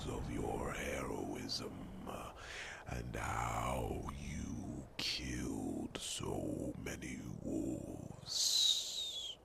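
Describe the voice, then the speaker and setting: A man's voice: very noisy, full character voice. A man voicing a huge ancient dragon in an ASMR fantasy roleplay, a brooding, fond protector who speaks formally in a growling whisper with pensive hums. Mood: proud, amused. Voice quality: deep gravelly growl, breathy whispering. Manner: slow, ponderous, formal phrasing with pensive hums.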